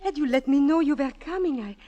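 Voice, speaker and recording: An actress playing a lonely, middle-aged widowed innkeeper, gentle and formal, in a 1940s radio drama. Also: female voice, high-pitched, quick pace, dull audio, lightly acted. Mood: wistful, concerned. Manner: wistful, formal, careful phrasing.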